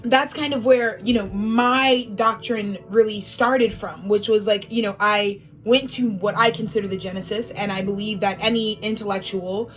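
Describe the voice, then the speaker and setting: A woman's voice: mid-range voice, steady tone, moderate pace, hardly any pauses, faint music behind. A woman giving a casual spiritual monologue as an astrology speaker, a little sniffly, rambling with lots of fillers and free swearing.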